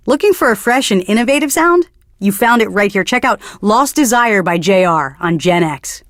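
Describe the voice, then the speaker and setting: A woman's voice: mid-range voice, lively intonation, moderate pace, no echo, clean studio sound, close to an everyday voice. An actress playing a bookish, pretentious young female alternative radio DJ in a video game, delivering earnest literary patter. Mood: smooth promotion.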